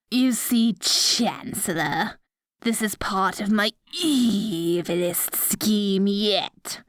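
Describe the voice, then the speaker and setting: A woman playing a dorky evil sorceress queen in an ASMR roleplay, petty but secretly soft-hearted, mixing mock-villain grandeur with awkward asides. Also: medium pitch, dry recording, studio quality. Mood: deflated, flustered. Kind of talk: ASMR roleplay, addressing the listener directly.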